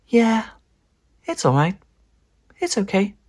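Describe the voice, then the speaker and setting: A man's voice: high-pitched, very animated, slow pace, voice pushed up, exaggerated voice. A man voicing a greedy, mischievous young boy in a storybook, gleeful and conspiratorial. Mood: sheepish. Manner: gleeful, conspiratorial, chanting sound words.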